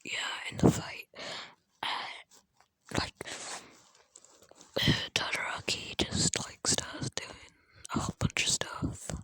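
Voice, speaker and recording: A person speaking in a breathy, unvoiced whisper, telling an improvised fan story in a rambling, halting way, close to a phone. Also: pushed and bright, no room sound, rough recording. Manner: rambling, halting, acting out characters' dialogue in passing.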